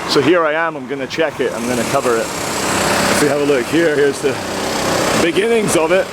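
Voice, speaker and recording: Hoarse man vlogging on a noisy street. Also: fairly high pitch, a little fast. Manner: wry, sarcastic running commentary. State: hoarse from a chesty cold. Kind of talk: casual walking commentary. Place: city street outdoors. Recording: outdoor street recording with traffic noise. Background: street traffic noise.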